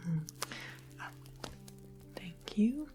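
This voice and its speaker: A woman playing a sweet but sly fantasy witch in a whispered ASMR roleplay, coaxing and softly menacing with polite, breathy speech. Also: mid-range voice, very animated, very slow. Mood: sly, businesslike. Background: music and ambient sound.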